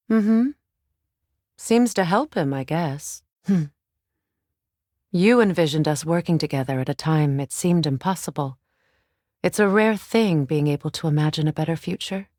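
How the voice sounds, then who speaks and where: A resolute sorceress and protective mother in a dramatic fantasy video game. Her voice sits on the low side and is captured very dry. She speaks with measured, earnest authority, as someone battle-worn and used to command. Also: animated, warm tone, dry recording. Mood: gentle, firm.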